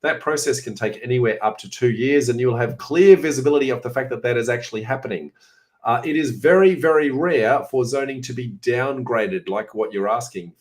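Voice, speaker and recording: A middle-aged man with an Australian accent, hoarse and raspy today, hosting a casual question-and-answer session and chatting through answers with many filler sounds. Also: mid-range voice, brisk. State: hoarse, coughing. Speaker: host answering viewer questions. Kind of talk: informal Q&A session.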